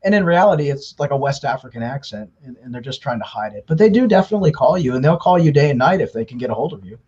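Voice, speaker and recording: A man's voice: mid-range voice, animated, fast, a little mellow, breathy edge. A man speaking as an interview guest, explaining things conversationally at length with fillers and restarts. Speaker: interview guest, scam baiter. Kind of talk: casual interview talk. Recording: dull lo-fi audio.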